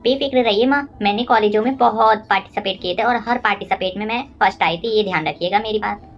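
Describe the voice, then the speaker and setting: A woman's voice: mid-range voice, fast talker, faint music behind, lightly acted. A woman voicing polite, chatty young women of a neighbourhood in a cartoon moral story, explaining things politely. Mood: confident.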